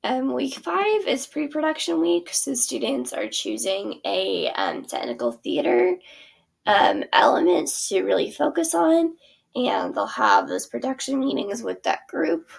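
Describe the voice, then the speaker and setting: A woman's voice: high voice, very animated, unhurried, vocal fry, breathy edge. A woman teacher casually walking through her theater curriculum, chatty and full of fillers. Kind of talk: casual walkthrough of a product.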